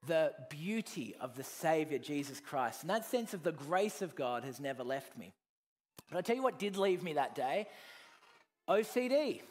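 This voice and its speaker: A fairly high-voiced man delivering a personal testimony from the pulpit. He talks earnestly, pressing hard on key words and then dropping into quiet, low reflection. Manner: earnest, swings from loud emphasis to soft, low reflection. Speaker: preacher giving his testimony.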